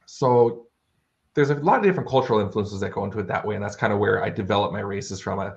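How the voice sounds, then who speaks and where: A man's voice: on the low side, quick pace, vocal fry, darkish tone, dull audio. A man speaking as an author being interviewed, explaining his fantasy worldbuilding in an articulate, bookish, conversational way.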